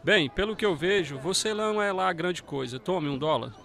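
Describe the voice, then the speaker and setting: Gravelly, cold, blunt uncle. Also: male voice, high voice, lively intonation, moderate pace, fairly bright tone, faint music behind, exaggerated voice. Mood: cold, curt. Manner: curt, dismissive. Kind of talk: radio drama acting.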